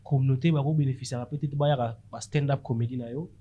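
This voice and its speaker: A man's voice: mid-range voice, steady tone, rapid-fire, slightly rough. A man with a Congolese accent hosting a casual podcast interview, switching between languages and often echoing his guest with short acknowledgements, in a dull recording. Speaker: podcast host. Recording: dull audio with faint noise.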